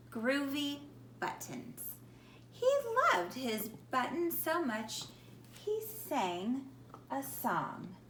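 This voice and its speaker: A woman reading a children's picture book aloud like a teacher, in a deliberate, expressive manner, putting questions to young listeners. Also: high voice. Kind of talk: animated children's storybook read-aloud. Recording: echoey room with faint background noise.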